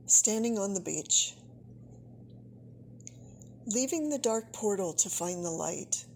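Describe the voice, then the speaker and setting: A woman giving a solemn poetry reading in short, measured phrases. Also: mid-range voice, lively intonation, darkish tone, slight background noise. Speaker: poet.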